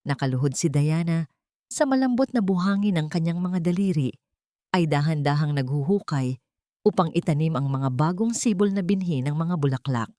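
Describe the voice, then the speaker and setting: A woman telling a scripted drama story in a measured, earnest way. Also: low-pitched, expressive, dark tone, no room sound, clean studio sound.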